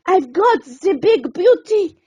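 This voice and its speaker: Woman acting angry male kitchen boss, storybook, loud, exclamatory, high voice. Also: very animated, slow, heavily acted. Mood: triumphant. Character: angry male restaurant chef barking at his cooks.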